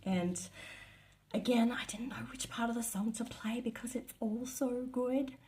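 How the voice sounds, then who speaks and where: A woman's voice: fairly high pitch, fairly even intonation, unhurried, warm tone. A woman chatting casually and gushing about her favourite bands, rambling with lots of fillers. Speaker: vlogger. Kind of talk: casual chat.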